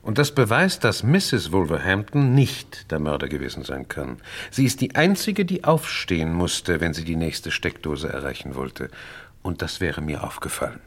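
An actor playing a shrewd, courteous and pompous detective in a radio crime drama, sprinkling French phrases into deliberate speech. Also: male voice, very deep voice, very animated, heavily acted. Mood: explaining, assured. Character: shrewd, theatrical Francophone male detective, self-assured and courteous.

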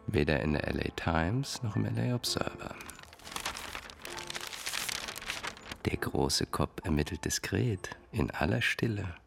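Radio crime drama, smooth manipulative psychiatrist, low-pitched, polished diction, cold control. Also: male voice, a bit bright, heavily acted. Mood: quietly menacing. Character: suave, manipulative, menacing male psychiatrist villain.

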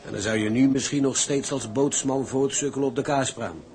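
An actor playing a carefree, blunt old shipmate in dramatic dialogue, with a jaunty way of talking. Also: male voice, mid-range voice, fairly quick, a little mellow, natural voice. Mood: blunt, frank. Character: breezy, blunt seaman, the captain's carefree old comrade. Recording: music behind the voice.

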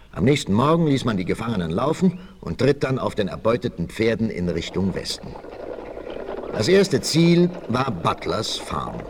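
Animated male storyteller, noisy old record. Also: lowish voice. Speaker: narrator. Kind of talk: dramatic scripted storytelling, 1960s radio play. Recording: noisy old vinyl rip.

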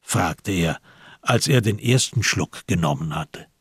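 A man narrating a radio crime drama in a measured, literary, sober way, with a husky voice. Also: low voice, animated, a bit bright, dry recording, full character voice. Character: sober storyteller of a crime tale.

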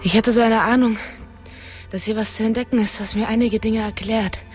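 A woman's voice: on the high side, steady tone, a little fast, soft background music, close to an everyday voice. An actress playing a determined young woman in a radio drama, earnest, probing and a little tentative. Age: young adult. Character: determined young woman searching for hidden truth.

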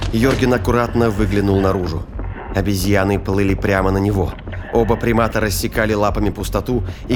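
A man narrating a scripted action story as an audio drama narrator. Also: lowish voice. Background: music and sound effects.

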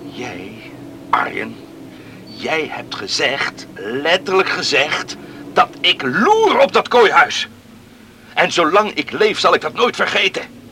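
An actor playing a proud, unforgiving, aggrieved islander in a Dutch radio play, speaking with bitter emphasis. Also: male voice, fairly high pitch, sing-song, slow, pushed and bright, full character voice. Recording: old radio recording with faint noise.

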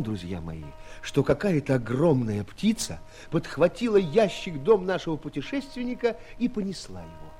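An actor playing a wry storyteller, narrating a fairy tale with theatrical, expressive delivery and dramatic pauses. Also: male voice, on the high side. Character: wry male storyteller addressing young friends. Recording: 1970s studio audio play, music behind.

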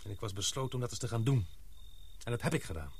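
A male actor plays a serious expedition man in a radio drama who suspects sabotage. His voice is mid-pitched and a little husky. He argues earnestly and deliberately, one point after another. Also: expressive. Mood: grave, reasoning. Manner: earnest, deliberate, reasoning step by step. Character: grave, suspicious male expedition member piecing together sabotage. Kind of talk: Dutch radio play acting.

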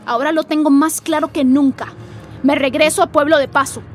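A woman acting an emotional young mother in a radio soap, with expressive, melodramatic delivery. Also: high-pitched, fairly quick, lightly acted. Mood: hurt, angry. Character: emotional young mother, lovestruck then heartbroken migrant. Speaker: radio drama actress. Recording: studio radio drama with music behind.

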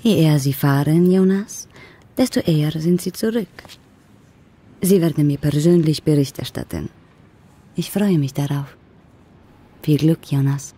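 A woman playing a senior civil servant in a radio play, brisk, formal and matter-of-fact. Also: deep voice, dark tone, slightly rough. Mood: brisk, courteous. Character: brisk, official female senior bureaucrat.

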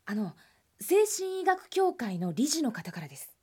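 A woman's voice: a little high, big pitch swings, unhurried, a little mellow, breathy edge, heavily acted. A woman acting a deferential office assistant in a radio drama. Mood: hesitant, polite.

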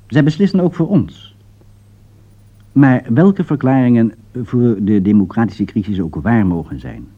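Lively-pitched Dutch man narrating, dull old recording. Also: slightly rough. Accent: Dutch, from the Netherlands. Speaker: radio documentary narrator. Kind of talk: scripted political commentary, 1960s radio.

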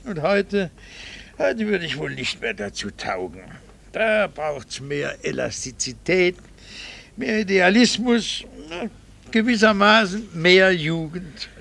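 An actor playing a middle-aged doctor and husband in a radio drama, a gravelly voice and a dry, wry, quietly resentful manner. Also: male voice, high voice, very animated, takes it slowly, a little hiss or hum, exaggerated voice. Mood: reminiscing, defensive. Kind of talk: 1960s radio drama acting.

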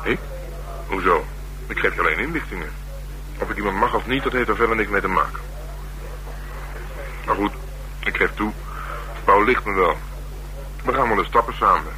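An actor playing a streetwise, sardonic middle-aged police detective in a Dutch radio drama, talking bluntly and colloquially with dry teasing. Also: male voice, on the low side, lively intonation, moderate pace, pauses often, sharp tone. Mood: matter-of-fact. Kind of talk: naturalistic radio drama dialogue. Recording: humming, noisy old radio broadcast.

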